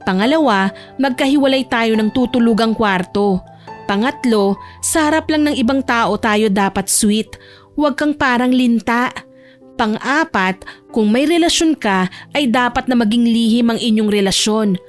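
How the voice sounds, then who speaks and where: A woman's voice: on the high side, moderate pace, faint music behind, natural voice. A woman voicing a proud, firm young bride in a romance audio drama, with an emphatic delivery. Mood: firm, bossy.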